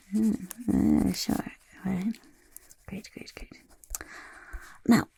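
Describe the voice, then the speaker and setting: Woman playing a sly goblin interviewer, hushed husky fry, slow and low. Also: no room sound, exaggerated voice. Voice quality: gravelly whisper. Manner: refers to itself in the third person, sly and skeptical. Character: sly scheming goblin recruiter, self-important. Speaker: ASMR performer. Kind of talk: soft-spoken ASMR roleplay.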